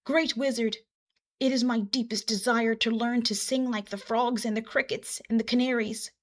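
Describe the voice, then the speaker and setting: A woman's voice: on the high side, fairly even intonation, moderate pace, pitched above the performer's own voice, close to an everyday voice. A woman voicing a small, wistful, earnest storybook armadillo with an eager, emphatic delivery. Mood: earnest pleading.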